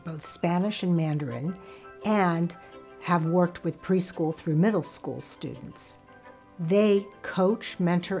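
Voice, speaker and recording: A woman's voice: low-pitched, gravelly, darkish tone. A woman speaking as a school leader in a promotional interview, polished and articulate, sounding proud.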